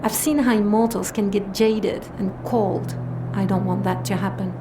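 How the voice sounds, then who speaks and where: An actress playing an earnest, guarded young adventurer in an audio drama, speaking in a blunt, literal, serious way. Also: female voice, mid-range voice, fairly even intonation, normal speed, background noise, natural voice. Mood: guarded, sincere.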